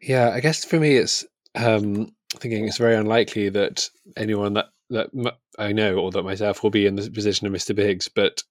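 A man's voice: on the low side, steady tone, brisk, hardly any pauses, dry recording, clean studio sound. A man co-hosting a conversational book discussion, tentative and hedging as he trails off from his points. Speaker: podcast co-host.

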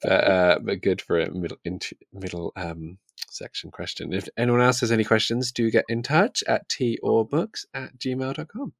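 A man with a fairly low voice co-hosts a casual book podcast. He talks informally, feeling his way through sentences, and the sound is close and dry. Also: normal speed, vocal fry, no echo. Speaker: podcast co-host.

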